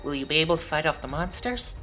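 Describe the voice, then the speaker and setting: A man's voice: fairly high pitch, expressive, fast, bright, forceful tone, soft background music, pitched above the performer's own voice. A man voicing a timid young boy in a horror story, hesitant and anxious in his speech. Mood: frightened. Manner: hesitant, stammering.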